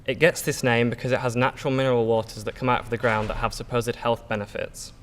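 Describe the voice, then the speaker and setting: A man's voice: mid-range voice, level tone, quick pace, a bit bright, slight background noise. A teenage boy from England with a Yorkshire English accent, giving an earnest prepared school speech with small slips and fillers. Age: teens. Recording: echoey hall, long reverb.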